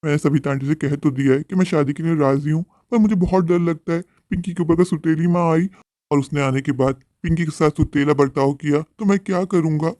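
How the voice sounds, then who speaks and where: A man playing a protective young widowed father in a Hindi cartoon, earnest, respectful and gentle in manner. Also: fairly high pitch, fairly even intonation, a little fast, dry recording, clean studio sound, lightly acted. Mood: worried.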